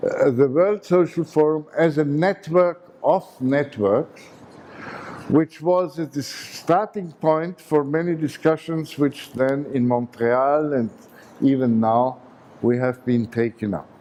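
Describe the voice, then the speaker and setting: A man giving a memorial talk at a seminar, pausing often with fillers. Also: fairly high pitch, mellow. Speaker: seminar speaker. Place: conference hall.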